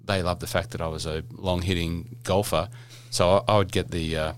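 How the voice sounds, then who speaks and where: Deep-voiced chatty man. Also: steady tone, normal speed, smooth. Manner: chatty, rambling, jokey, many fillers and restarts. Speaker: podcast host. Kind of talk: casual podcast interview.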